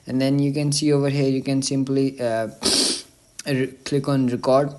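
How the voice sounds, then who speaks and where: Male tutorial host, halting delivery. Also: mid-range voice, little pitch movement. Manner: halting, repetitive, filler-heavy delivery. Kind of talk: casual step-by-step screen-recording tutorial narration.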